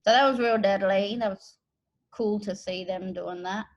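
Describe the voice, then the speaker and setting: An Australian woman from the Northern Territory, an educator and interpreter, telling her own story in a relaxed, thoughtful way with pauses. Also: mid-range voice, dull audio. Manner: unhurried, thoughtful, with pauses and fillers. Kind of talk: relaxed personal account.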